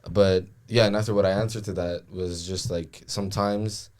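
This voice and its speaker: A young man born in France talks in a very deep voice. He is reflective and hesitant in a casual podcast chat and fills his pauses with uhs. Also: fairly even intonation, a little mellow. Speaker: podcast co-host. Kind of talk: casual conversation.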